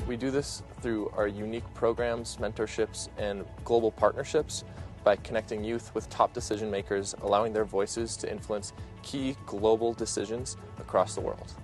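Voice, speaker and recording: A man reading scripted promotional narration in a polished, brisk manner. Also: lowish voice. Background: soft guitar music.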